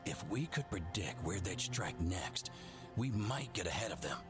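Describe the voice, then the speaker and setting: An actor playing a gruff, weary male commander of fantasy rangers in a video game, terse in manner. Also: deep voice, sing-song, moderate pace. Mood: grim. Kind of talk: video game cutscene acting. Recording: loud game music behind.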